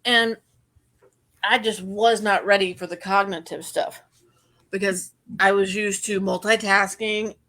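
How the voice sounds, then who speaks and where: A woman's voice: mid-range voice, fairly even intonation, measured pace, fairly bright tone. A middle-aged woman co-hosting a podcast, talking in earnest, run-on explanations in casual chat. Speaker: podcast co-host.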